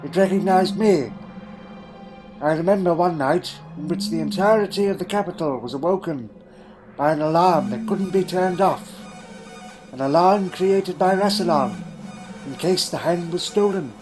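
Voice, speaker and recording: A man playing an elderly, secretive alien time traveller in a fan audio drama, telling his story gravely and deliberately with pondering hums. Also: sits high, over music, natural voice, poor audio. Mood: grave, insistent.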